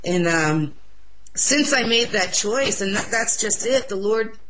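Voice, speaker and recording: A young woman with a loud voice, sharing an earnest, excitable personal religious testimony in an interview. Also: medium pitch, takes it slowly, background noise.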